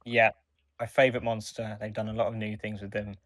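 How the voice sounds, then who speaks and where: A man with a fairly low voice speaks as a podcast co-host. The sound is close and very dry, and he talks in a casual, conversational review style. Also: flat delivery, a little fast, a bit bright, no room sound.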